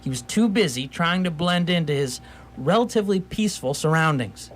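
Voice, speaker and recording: A man playing a wry storyteller, narrating a scripted radio theatre story in a measured way. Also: a little high, expressive, a little hiss or hum, natural voice. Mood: wry narration.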